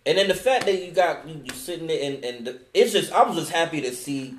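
A man's voice: fairly high pitch, reverberant, a little hiss or hum. A man co-hosting a podcast, chatting casually with lots of restarts and fillers. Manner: relaxed, halting, many restarts. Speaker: podcast co-host. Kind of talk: casual chat.

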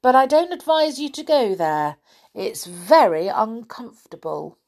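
A woman's voice: fairly high pitch, very animated, unhurried, a little mellow, pitched above the performer's own voice. A woman voicing a jolly, round-faced old man who lives in a magic tree, in a storybook reading. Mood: cautioning. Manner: beaming, cheery greetings.

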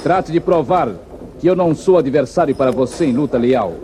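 Um ator brasileiro interpretando um herói de faroeste destemido, com fala firme, formal e comedida de radionovela dos anos 1950. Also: male voice, a little high, warm tone, a little hiss or hum, close to an everyday voice. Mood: calm challenge. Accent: Brazilian. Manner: firm, formal, measured. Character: steadfast, fearless cowboy hero lawman. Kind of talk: 1950s radio western acting.

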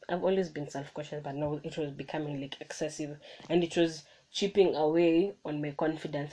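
A woman's voice: low voice, a little mellow, rough recording. A young woman hosting her own podcast, talking through motivational points in a chatty way, often restarting her sentences, recorded on a phone. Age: young adult. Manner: chatty, self-correcting, motivational. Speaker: podcast host. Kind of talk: casual solo podcast monologue. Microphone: phone microphone.

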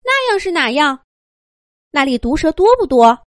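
Female narrator as a young male rabbit, high pitch, very animated, curious, pestering, excitable, storybook character. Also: no room sound, clean studio sound. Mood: eager, curious. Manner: insistent, excitable rapid questioning.

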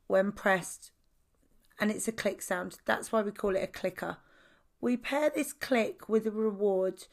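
Female podcast host, croaky voice, calm scripted explainer of training methods. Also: fairly high pitch.

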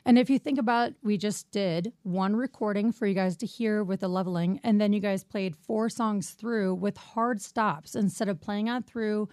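A woman's voice: medium pitch, moderate pace, hardly any pauses, a little mellow, no echo. A woman co-hosting a podcast in a small band studio, chatting enthusiastically and swearing freely. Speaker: podcast co-host. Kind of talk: casual chat.

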